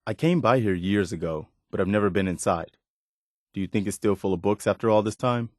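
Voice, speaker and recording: A male actor plays an earnest wasteland militia lieutenant with a lowish male voice, recorded close and dry. He speaks plainly and with principle, like a dutiful soldier in a video game. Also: fairly quick, darkish tone, no room sound, natural voice. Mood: calm, wry. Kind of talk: video game character dialogue.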